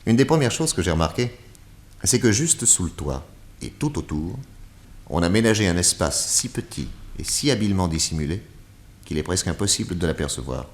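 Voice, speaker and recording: An actor playing a shrewd, calm male detective in a radio drama, speaking in a low, measured way. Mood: calm, probing.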